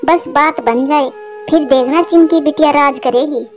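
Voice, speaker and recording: Lady doing a matchmaker auntie bird for a cartoon, high voice, coaxing, faint music. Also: unhurried. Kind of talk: Hindi cartoon story voice acting.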